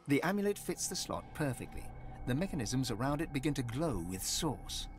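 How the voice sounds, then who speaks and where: An actor voicing a solemn storybook narrator in a fantasy adventure game, reading scripted descriptions. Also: male voice, mid-range voice, big pitch swings, brisk, soft background music, lightly acted. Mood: measured, mysterious.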